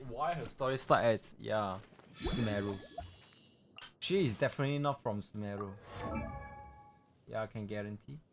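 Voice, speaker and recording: A man chatting casually as he hosts a game livestream, halting and repeating himself, with loose non-native English phrasing. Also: medium pitch. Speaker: livestreamer hosting a game stream.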